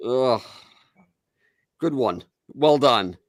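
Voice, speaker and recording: A man's voice: medium pitch, animated, very slow, dry recording, clean studio sound. A man hosting a casual talk show, sarcastic and joking, laughing often and swearing. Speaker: podcast host. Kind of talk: casual chat, mocking commentary.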